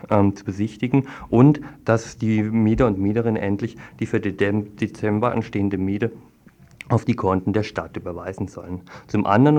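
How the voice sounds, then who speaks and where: A man's voice: lowish voice, darkish tone. A man working as a radio reporter, reading a report and interviewing in a formal, wordy way. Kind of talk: scripted news report and studio interview.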